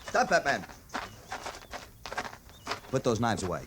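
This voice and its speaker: An actor playing an earnest junior cavalry officer in an old-time radio western. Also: male voice, mid-range voice, wildly swooping pitch, slow pace, background noise. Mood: commanding.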